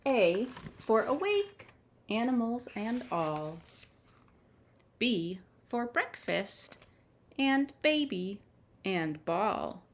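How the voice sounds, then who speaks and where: A woman reading picture books aloud to young children in simple, measured phrases, with little asides to her listeners. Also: a little high, big pitch swings. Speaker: children's storytime reader. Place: at home.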